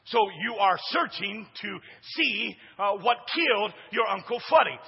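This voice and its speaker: A male pastor with a big booming voice and a high-pitched, husky edge, preaching in a church sanctuary. He drops to hushed asides, then builds to shouts while prompting the congregation. The sound is dull and muffled, with long reverb trailing behind him. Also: lively intonation, measured pace. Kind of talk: sermon.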